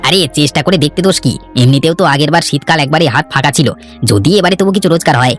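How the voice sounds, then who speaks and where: A man's voice: a little high, racing, natural voice. A man voicing an earnest, plain-spoken young villager in a fairy-tale cartoon, with a slightly chiding manner. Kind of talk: Bengali cartoon voice acting. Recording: background music under the voice.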